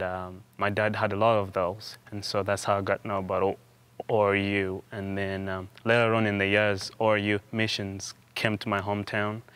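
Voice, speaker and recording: A young man from Uganda, a student guest in an interview, telling his story in a halting way with frequent restarts and repeated words. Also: on the low side, a bit bright, clear, resonant tone. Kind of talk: conversational interview.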